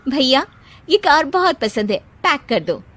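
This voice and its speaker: Cartoon wife, shrill and proud, voiced by a woman, slow delivery. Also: exaggerated voice. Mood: boastful. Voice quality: high, bright and excited. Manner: boastful, giggly, bossy. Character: boastful young wife, proud of her hands. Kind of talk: cartoon story voice acting.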